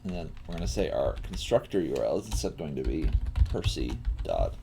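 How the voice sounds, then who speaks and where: A man giving a casual live coding tutorial as a teacher, thinking aloud in a halting way while he works. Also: a bass voice, sing-song, creaky, background noise.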